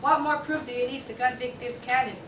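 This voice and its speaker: Young woman as hot-tempered juror pig, higher-pitched, indignant, argumentative, stage comedy read, noisy room. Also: fairly even intonation, brisk, natural voice. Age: young adult. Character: stubborn, hot-tempered juror pig, sure of the wolf's guilt. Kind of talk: student table read of a comic courtroom play. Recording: distant, echoey room with clear background noise.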